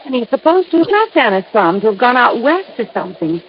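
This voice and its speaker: A woman's voice: fairly high pitch, very animated, fast, slight background noise. An American actress playing an anxious, coy, earnest young woman client in a 1950s radio drama. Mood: anxious, urgent. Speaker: actress in a radio detective series. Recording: muffled old radio recording.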